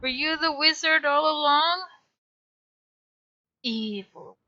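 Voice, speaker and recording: Slow-talking woman with a Finland Swedish accent. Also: sits high, bright, forceful tone, a little hiss or hum. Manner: wry asides to the game. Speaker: streamer. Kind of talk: casual game commentary. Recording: muffled stream audio with game music.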